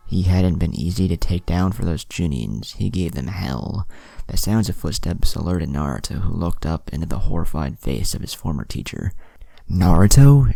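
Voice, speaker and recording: A man's voice: extremely low pitch, vocal fry, dark tone, no echo. A man narrating a dramatic fan fiction story as a scripted reading. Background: music.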